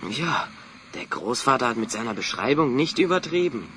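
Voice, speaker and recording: An actor playing a level-headed young adventurer hero, articulate and courteous, in a children's audio drama. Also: male voice, medium pitch, animated, moderate pace, pushed and bright, a little hiss or hum. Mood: resolute, cautious.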